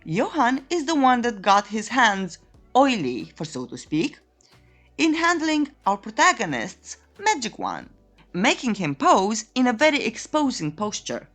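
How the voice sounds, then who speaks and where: A woman narrates a playful recap with a coy, tongue-in-cheek delivery. Her voice sits a little high and swings very widely in pitch. Faint music plays behind the voice-over. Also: huge pitch swings, measured pace, fairly bright tone. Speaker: narrator of comic recap videos.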